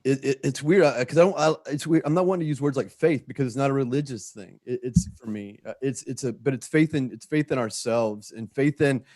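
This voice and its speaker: A man's voice: mid-range voice, darkish tone, no room sound, studio quality. Earnest, sweary man talking casually. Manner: sweary, earnest, halting with repeated phrases. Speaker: writer and filmmaker. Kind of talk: casual heartfelt talk to a group.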